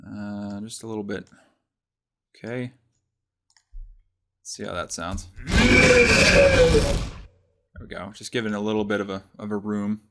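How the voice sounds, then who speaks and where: A man presenting a casual software tutorial, explaining step by step in an informal way. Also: on the low side, little pitch movement, normal speed, pauses often, slight background noise.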